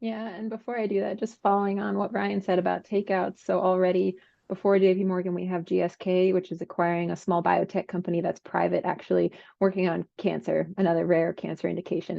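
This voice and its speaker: A woman's voice: lowish voice, level tone, fairly quick, gravelly, dark tone, no echo. A woman working as a biotech analyst, giving a conversational market briefing on a call. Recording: slightly dull, lo-fi call audio.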